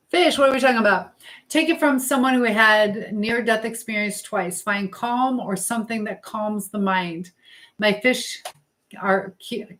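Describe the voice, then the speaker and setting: A woman hosts an informal livestream at an unhurried pace, with a mid-range voice. She talks to her viewers as their messages come in, rambling a little and pausing as she thinks aloud. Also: medium pitch, fairly bright tone. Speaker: livestream host chatting with live viewers. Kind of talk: casual live chat, reading out comments.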